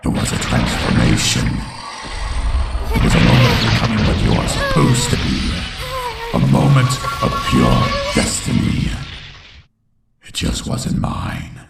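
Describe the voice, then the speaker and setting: A man playing a brooding unicorn villain who solemnly narrates his tormented youth in a gravelly voice, as in a dramatic comic dub. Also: lowish voice, wildly swooping pitch, measured pace, pauses often, reverberant, far from the mic, over loud music, full character voice. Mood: bitter, dramatic. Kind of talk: scripted first-person dramatic narration.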